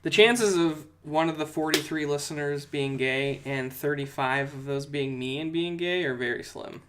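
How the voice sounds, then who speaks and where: A man's voice: fairly high pitch, normal speed, fairly bright tone, a little hiss or hum. A man co-hosting a casual podcast, cracking crude jokes. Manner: jokey, crude wisecracks. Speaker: podcast co-host and editor. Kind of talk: casual chat.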